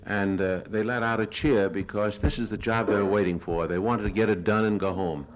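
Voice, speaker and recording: An older American man giving a lecture, narrating his own war film in a measured, plain-spoken, reminiscing way. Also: lowish voice, fairly even intonation, poor audio. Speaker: newsreel reporter giving a lecture. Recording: 1970s archival lecture audio recording.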